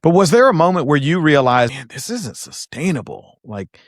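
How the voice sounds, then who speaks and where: A man's voice: fairly high pitch, very animated, normal speed, no room sound, clean studio sound. A man hosting a podcast interview, asking conversational, guiding questions. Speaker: podcast host.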